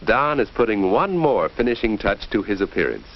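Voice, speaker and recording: A man's voice: on the low side, big pitch swings, moderate pace. A man giving scripted, instructive narration in a 1940s educational film style, with a questioning, presentational manner. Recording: dull, noisy 1940s film soundtrack.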